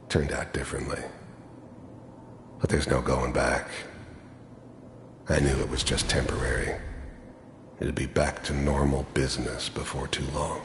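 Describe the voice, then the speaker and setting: An actor playing a cynical, hardened middle-aged mercenary in a video game, with a gravelly voice and a wry, world-weary way of confiding. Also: male voice, heavily acted. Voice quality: gravelly, very deep. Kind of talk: video game character monologue. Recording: echoey hall reverb with background noise.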